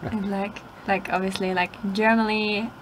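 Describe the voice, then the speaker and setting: A woman's voice: medium pitch, level tone, moderate pace, slight background noise, rough recording. A young woman from Austria, an exchange student guest who speaks hesitantly. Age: young adult. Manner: hesitant, with many "like" and "um" fillers.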